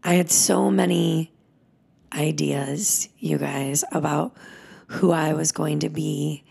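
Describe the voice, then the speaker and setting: Yoga teacher, woman, 30s: slow, gravelly and husky, soft and heartfelt, slips into whispers. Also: low voice, fairly even intonation. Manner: emotional, soft, drops into whispers. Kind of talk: reflective spoken teaching.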